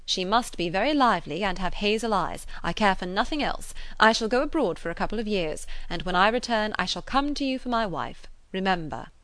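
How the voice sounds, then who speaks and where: Lady reader doing a cheeky young gent from an old novel, lively and cynical, punchy delivery, no echo. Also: medium pitch, a little fast, hardly any pauses, pitched above the performer's own voice, close to an everyday voice. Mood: playful. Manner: animated, emphatic. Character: lively, cynical young gentleman, flippant and teasing. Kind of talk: classic novel character dialogue.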